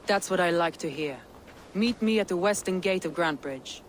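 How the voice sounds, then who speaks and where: A woman's voice: on the low side, normal speed, slight background noise, close to an everyday voice. A woman playing a resolute female Viking jarl in a dramatic video game, speaking in a declamatory way. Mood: proud, warm. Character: proud, commanding female Viking jarl, warm to her warriors.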